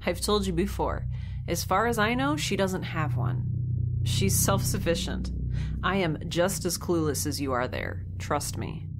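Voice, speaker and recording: A young woman stranded alone in space in an audio drama, wry and sarcastic even when things go wrong. She speaks slowly and deliberately. Clear music runs underneath her. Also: on the low side. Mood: defensive. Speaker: narrator of her audio log.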